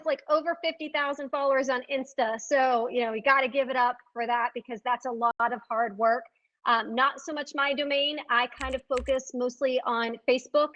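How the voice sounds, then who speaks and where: Brief: folksy, upbeat woman panelist, high voice, dull lo-fi recording. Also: a bit bright. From Richmond, Virginia. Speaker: real estate agent, panel speaker. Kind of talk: conference panel talk. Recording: dull lo-fi sound.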